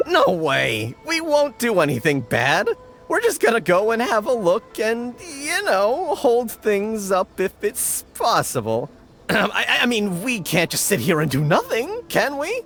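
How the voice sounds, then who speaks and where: Excitable, wildly swooping gang underling. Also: male voice, sits high, a little slow, a little hiss or hum, full character voice. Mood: sly, defensive. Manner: blustering, pleading, stammering. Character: excitable, scheming, cowardly young gang underling. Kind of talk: video game voice acting.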